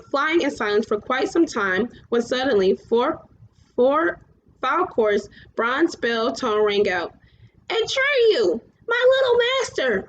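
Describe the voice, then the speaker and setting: A woman reading a storybook aloud as narrator, a little haltingly, stumbling over words now and then. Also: on the high side. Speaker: story reader. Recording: muffled, clearly noisy home recording.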